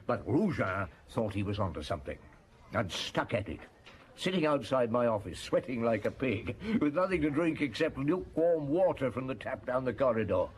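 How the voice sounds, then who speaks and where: An actor playing a shrewd middle-aged Paris police chief, who recalls a case in a wry, chuckling way for a radio drama. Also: male voice, mid-range voice, big pitch swings, moderate pace, husky. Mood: reminiscing. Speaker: narrator and detective.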